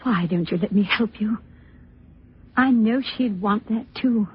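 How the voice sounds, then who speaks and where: An actress playing a gentle, ailing middle-aged aunt in an old radio drama, soft-spoken and resigned. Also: female voice, mid-range voice, measured pace, slightly rough. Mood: gentle concern. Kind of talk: 1940s radio drama acting. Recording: muffled old radio recording.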